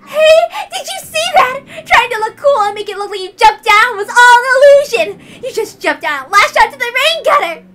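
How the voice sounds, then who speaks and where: Casting: a manic, smug young lady sleuth, shrill, wildly swooping, frantic and loud. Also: animated, moderate pace, few pauses. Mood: gloating, frantic. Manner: frantic, shouting, cackling outbursts. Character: unhinged, shrieking, smug young woman detective, desperately boastful.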